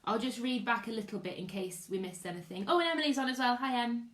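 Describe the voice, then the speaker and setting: A woman, a teacher, giving an expressive live read-aloud of a children's story and chatting to her listeners. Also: on the high side, fast talker. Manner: expressive storytelling with character voices. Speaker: teacher reading to pupils online. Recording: echoey room.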